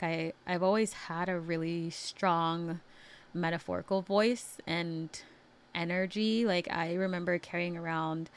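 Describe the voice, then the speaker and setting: A young woman host telling personal stories in a casual, chatty way, with frequent laughs and filler words. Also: fairly low pitch. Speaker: podcast host. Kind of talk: personal storytelling monologue.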